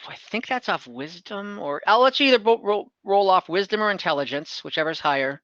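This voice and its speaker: A man's voice: sits high, lively intonation, a little fast, fairly bright tone, no room sound, muffled recording. A man acting as game master, improvising narration and rulings casually while thinking aloud over an online call. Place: close, dry mic on an online game call.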